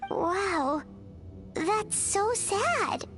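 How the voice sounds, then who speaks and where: Squeaky childlike fairy companion. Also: female voice, lively intonation, extremely slow, music in the background, heavily acted. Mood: pitying. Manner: squeaky, cutesy, talks in third person. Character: small childlike floating fairy companion, excitable and naive. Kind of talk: anime-style video game acting.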